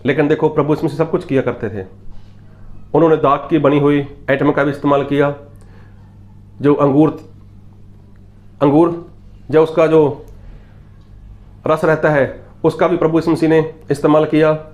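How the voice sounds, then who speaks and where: A man's voice: a little high, fairly even intonation, normal speed, long pauses, darkish tone. A man from Punjab, preaching a sermon in a repetitive, emphatic teaching style and asking rhetorical questions. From Punjab, India. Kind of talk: Hindi sermon with Bible readings.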